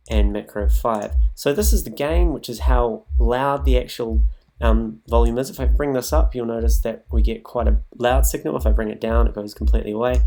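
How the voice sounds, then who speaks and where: Gravelly, mellow man giving a software walkthrough. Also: medium pitch. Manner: informal, thinking aloud as he demonstrates. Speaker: music production tutorial presenter. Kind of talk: casual, improvised software walkthrough. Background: music loops from the demonstrated software.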